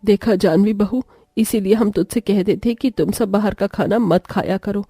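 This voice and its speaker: A woman's voice: mid-range voice, steady tone, normal speed, mellow, slightly rough, no room sound, studio quality, soft background music, pitched below the performer's own voice. A woman voicing a stern, reproachful older mother-in-law in a moral story drama. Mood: scolding. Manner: scolding, reproachful. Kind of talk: acted Hindi moral story dialogue.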